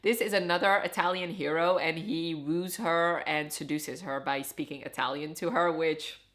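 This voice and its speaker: A woman's voice: low-pitched, fairly even intonation, moderate pace, fairly bright tone. A woman whose first language is Dutch, chatting casually and expressively as she gives an emphatic review to camera. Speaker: book reviewer.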